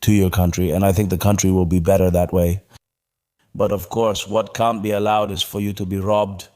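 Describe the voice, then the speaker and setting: Man from Morocco, lowish voice, blunt, repeats himself, casual interview. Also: flat delivery, normal speed, a little mellow. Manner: blunt, repeats his points. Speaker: guest.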